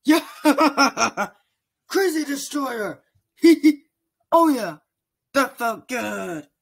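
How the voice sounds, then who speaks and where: A voice actor playing a manic male robot who loves bombs, shouting gleefully between cackles in a game character reel. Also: sits high, very animated, very slow, gravelly, slightly rough, voice pushed up, exaggerated voice. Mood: manic glee.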